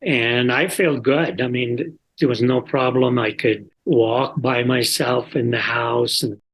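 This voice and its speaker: A middle-aged or older man recounts his own experience in unscripted, plain-spoken recollection, calm and halting. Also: mid-range voice. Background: soft guitar music.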